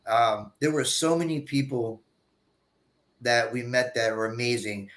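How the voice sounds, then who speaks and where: A man's voice: medium pitch, a little slow, a bit bright. A man co-hosting a casual live stream, rambling through stories and repeating his words as he starts sentences.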